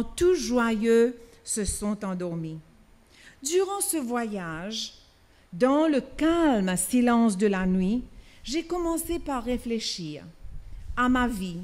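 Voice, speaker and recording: A middle-aged woman from Mauritius, slowly reading a sermon aloud, with hesitations and restarts. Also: fairly high pitch, a little mellow. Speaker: preacher. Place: church.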